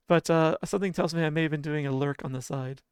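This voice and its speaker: A game streamer with a sleepy, drowsy-sounding voice talks softly and casually to the chat. The tone is smooth and clean, and the desk mic sounds close and dry with no room behind it. Idle humming fills the pauses. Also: medium pitch, no echo. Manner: soft, relaxed, chuckles often and hums idle filler tunes.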